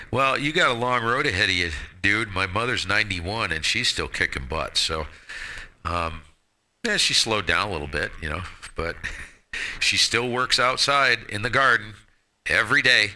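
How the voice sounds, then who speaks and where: A man of about sixty with a low voice and a very sharp, bright edge. He chats casually as a radio hobbyist, slangy and quick to poke fun at himself. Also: expressive. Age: 59, about to turn sixty. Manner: jokey, self-deprecating, slangy. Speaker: amateur radio operator. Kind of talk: casual radio chat with friends.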